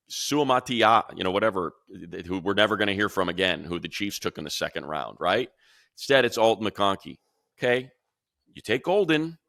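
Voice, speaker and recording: A man talking football in a casual sports podcast chat from a room at home, rambling with restarts and growing animated when he compares players. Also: fairly low pitch, no room sound, clean studio sound. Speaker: radio play-by-play announcer.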